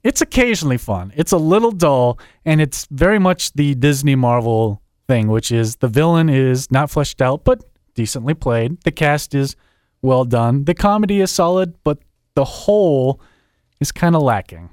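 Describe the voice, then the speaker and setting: Wry deadpan man summarizing a film. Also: mid-range voice, dry recording, clean studio sound. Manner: wry, deadpan, quick asides. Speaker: film critic guest. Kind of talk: casual chat, plot summary. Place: studio.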